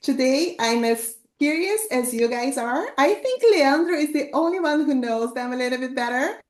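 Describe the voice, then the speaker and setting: A woman's voice: high voice, animated, moderate pace, roomy sound. A woman hosting an online interview, chatty and eager, often laughing between questions. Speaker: host.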